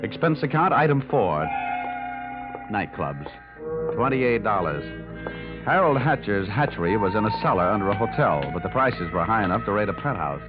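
An actor playing a wisecracking, hard-boiled private investigator in an old radio drama, with a wry deadpan delivery. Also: male voice, on the low side, moderate pace. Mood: wry narrating. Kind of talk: old-time radio detective drama, narrated and acted. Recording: muffled vintage radio recording with music.

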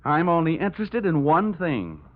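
A man's voice: a little high, sing-song, normal speed. A man playing a tough, wisecracking insurance investigator, narrating and talking in a punchy, sardonic old-time radio detective style. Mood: sardonic, firm. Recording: dull old radio recording, loud music.

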